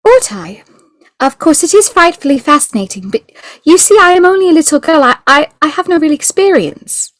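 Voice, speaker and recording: A woman's voice: high-pitched, lively intonation, normal speed, a bit bright, dry recording. A woman playing a prim, sweet, motherly little girl in an audio play. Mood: flustered, delighted.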